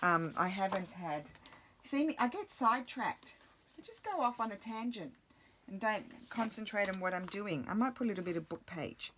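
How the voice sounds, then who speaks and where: A woman vlogging while she crafts, chatting casually and thinking aloud, her voice a little croaky from the morning. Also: mid-range voice, animated, normal speed, a bit bright, a little hiss or hum. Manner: rambling, self-interrupting, thinking aloud.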